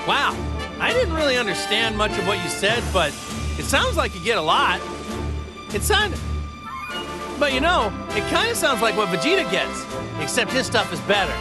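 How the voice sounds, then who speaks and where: Naive hero, high voice, earnest, casual, cheerful, game cutscene, loud music underneath. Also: male voice, big pitch swings, normal speed. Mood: puzzled, chatty. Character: cheerful, naive young adult male martial-arts hero. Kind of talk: animated game cutscene acting.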